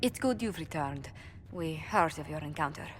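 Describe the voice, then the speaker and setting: A woman playing a calm, shrewd spymaster in a video game, speaking with composed deliberation. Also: deep voice, normal speed, big-room sound, a little hiss or hum. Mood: composed, informative.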